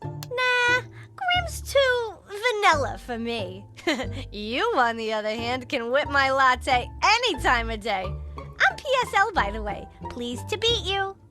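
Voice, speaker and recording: Game witch: sassy and flirtatious, very high voice, swooping delivery, music underneath. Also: very animated, slow, heavily acted. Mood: flirty, playful. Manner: sassy, innuendo-laden, exclaiming. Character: brazen, flirty, spirited adult witch. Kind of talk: cartoonish video game acting.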